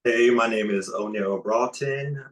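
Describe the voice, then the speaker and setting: A man in his twenties from Pensacola, a court clerk on a panel, introducing himself slowly and plainly. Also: medium pitch. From Pensacola, Florida. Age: 20s. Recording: roomy echo.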